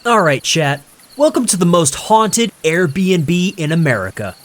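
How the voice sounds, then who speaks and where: A man's voice: high voice, big pitch swings, unhurried, pitched above the performer's own voice. A man voicing a cocky young livestreamer who tells horror tales with showy confidence. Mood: hyped, grinning. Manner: showy, confident, dramatic storyteller. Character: cocky young male ghost-hunting livestreamer hyping his audience. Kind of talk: horror story narration, character dialogue.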